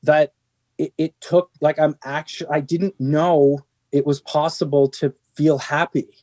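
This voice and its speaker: A man's voice: fairly high pitch, flat delivery, unhurried, darkish tone. A middle-aged man, a band's singer, talking earnestly in an interview, rambling with many restarts and fillers. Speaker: band singer. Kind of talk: candid interview conversation.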